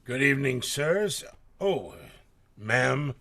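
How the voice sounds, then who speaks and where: A man playing a nervous, rough but honest sailor in a radio drama, stammering and deferential. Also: medium pitch, lively intonation, slow, pushed and bright, exaggerated voice. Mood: polite, wary. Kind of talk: live radio drama acting.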